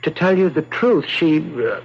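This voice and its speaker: An actor playing a sheepish, evasive, hesitant pet owner in a 1970s radio drama. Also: male voice, fairly high pitch, very animated, moderate pace, highs cut off. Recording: faintly noisy 1970s radio broadcast.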